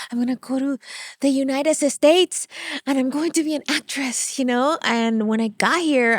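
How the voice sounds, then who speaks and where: A woman's voice: on the high side, no room sound, clean studio sound. A middle-aged woman from Venezuela, a native Spanish speaker, telling her story animatedly as an interview guest. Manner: animated storytelling with frequent laughs. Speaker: guest, producer and CEO. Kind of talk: interview conversation.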